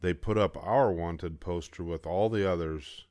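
An older man reads a children's picture book aloud with steady, even narration. His voice sits on the low side. The recording is close and dry, with no room sound. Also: a little slow, no echo. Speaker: storybook reader.

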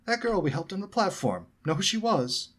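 A boyish, cheeky teenage prankster from a storybook, played by a man. The voice is raised in pitch and slightly husky, animated and full of exclamation. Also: a little fast, exaggerated voice. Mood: excited. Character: cheeky, excitable teenage twin brothers, boyish jokesters.

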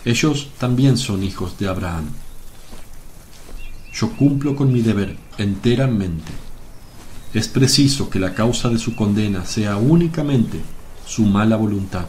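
An actor playing a calm, stern, authoritative holy teacher, grave and measured, in a religious radio drama. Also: male voice, on the low side, a little hiss or hum, lightly acted. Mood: stern, sorrowful.

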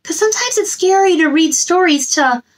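A woman in her thirties speaking to young children as a story time host, with an expressive, simple delivery. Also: extremely high pitch, moderate pace. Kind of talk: children's read-aloud and chat to young viewers.

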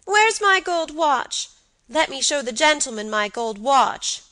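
Woman acting a high-pitched, stubborn little boy. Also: lively intonation, a little slow, bright, forceful tone, dry recording, studio quality, heavily acted. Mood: insistent. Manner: abrupt, childish, emphatic speech. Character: resolute, blunt little boy, petulant and insistent.

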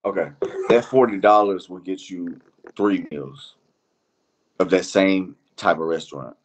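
Deep-voiced Iranian-born man, halting and slangy. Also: low-quality recording. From Iran. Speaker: interview guest. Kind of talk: casual chat.

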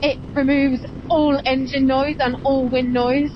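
A woman's voice: sits high, level tone, unhurried. A woman chatting casually and explaining things as she hosts a vlog, speaking through a helmet intercom mic while riding. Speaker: motovlog host. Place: on a motorbike on open roads. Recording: muffled helmet intercom mic with engine noise. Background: engine and road noise.

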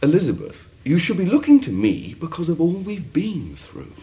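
A man's voice: fairly high pitch, wildly swooping pitch, moderate pace, mellow. A man in a radio drama playing a needy, self-important head of maths with a pleading manner.

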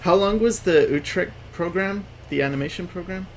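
A man hosting a casual interview over a video call, asking questions hesitantly with many pauses and short reactions. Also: high-pitched, noisy background. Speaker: interviewer, channel host.

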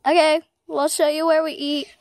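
A young vlog host chatting casually, filming on a handheld phone at an outdoor campground. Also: female voice, high voice, little pitch movement, normal speed, slight background noise.

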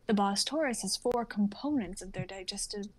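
A woman's voice: medium pitch, moderate pace, dry recording, natural voice. A woman playing a formal, informative nature documentary narrator in an amateur script reading. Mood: measured, informative.

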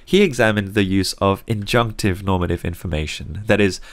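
A young man from Singapore, speaking with an Australian accent, narrating a scripted psychology lesson in a clear, conversational and lightly wry way. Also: sits low, moderate pace. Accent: Australian English, learned as an adult. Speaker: psychology teacher.

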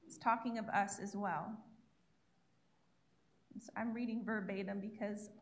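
A woman's voice: mid-range voice, fairly even intonation, measured pace. A young woman giving a sermon from her notes on a church stage, chatty and self-deprecating, speaking into a handheld mic. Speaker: guest preacher. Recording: echoey church room.

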